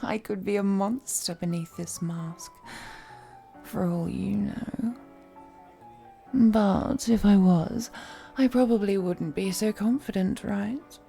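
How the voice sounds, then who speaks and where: Woman acting as ancient flirty vampire lady, courtly and refined, really unhurried, some creak in the voice, roleplay audio w music behind. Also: mid-range voice, mellow. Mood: coy, teasing. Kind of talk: scripted roleplay audio, intimate one-sided dialogue to the listener.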